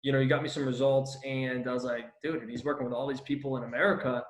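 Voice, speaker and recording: A man's voice: medium pitch, steady tone, fast, echoey room, poor audio. A man hosting a casual podcast interview, enthusiastic and slangy, calling his guest "dude" and "bro". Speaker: podcast host.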